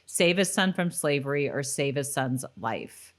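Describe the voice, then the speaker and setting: A woman's voice: rumbling low, animated, measured pace. A woman co-hosting a casual TV rewatch podcast, chatty and agreeable in manner. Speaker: podcast co-host. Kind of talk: casual TV rewatch chat with read-out episode summaries.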